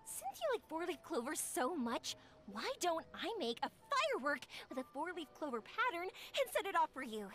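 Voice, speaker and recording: Cheery young fireworks girl, high and lively, gushes over everything. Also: moderate pace, hardly any pauses. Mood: friendly. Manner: bright, friendly, gushing. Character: sunny young woman, warm-hearted festival fireworks maker. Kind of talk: game cutscene voice acting.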